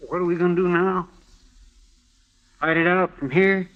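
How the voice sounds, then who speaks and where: An actor with a rural American accent playing an anxious, deferential deputy in an old radio western. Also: male voice, high-pitched, fairly even intonation, moderate pace, close to an everyday voice. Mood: worried. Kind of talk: 1950s radio western acting. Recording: muffled old radio broadcast recording.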